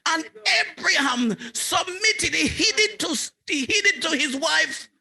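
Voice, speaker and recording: Male preacher, high, very animated voice, slow measured delivery, builds with repetition. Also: creaky. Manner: preaching with rising fervour, repeating phrases. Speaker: bishop, guest preacher. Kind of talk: sermon.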